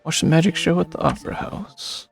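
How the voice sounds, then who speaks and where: A man's voice: fairly high pitch, fairly even intonation, fairly quick, gravelly, faint music behind. A man streaming a video game with casual, muttered commentary, sometimes reading text aloud.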